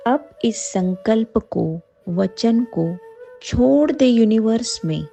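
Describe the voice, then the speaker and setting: A woman with an Indian accent leading a guided yoga nidra meditation in a soothing, unhurried manner, leaving long pauses between phrases. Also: fairly high pitch, lively intonation, creaky, dark tone, soft background music.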